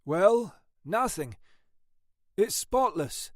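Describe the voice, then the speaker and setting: A man's voice: high voice, sing-song, extremely slow, dry recording, clean studio sound, voice pushed up, full character voice. A man voicing an easygoing, doubtful male police colleague with an offhand manner.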